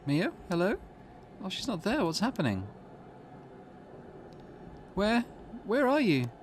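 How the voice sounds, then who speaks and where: A man's voice: high-pitched, sing-song, takes it slowly, slight background noise, voice pushed up, full character voice. A man voicing an anxious, impulsive teenage schoolgirl who is easily spooked, in a hesitant visual novel read-through. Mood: panicked. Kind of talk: character voice reading a visual novel. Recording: music in the background.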